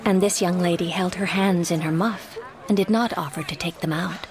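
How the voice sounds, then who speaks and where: A woman playing a young, sharp-witted society lady detective in a radio mystery, poised, genteel and wry. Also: lowish voice, fairly even intonation, moderate pace, a crowd in the background, lightly acted. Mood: wry storytelling. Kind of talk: period radio mystery drama acting.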